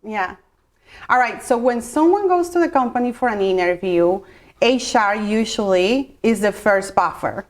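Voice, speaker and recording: Woman, 40s, mid-range voice, interview guest who explains at length. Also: mid-range voice. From Colombia. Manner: explanatory, with many fillers and restarts. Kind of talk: interview answers.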